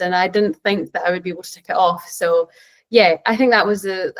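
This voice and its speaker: Earnest Scottish woman on a panel. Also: mid-range voice. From Scotland. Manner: earnest, reflective storytelling. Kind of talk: online panel answer.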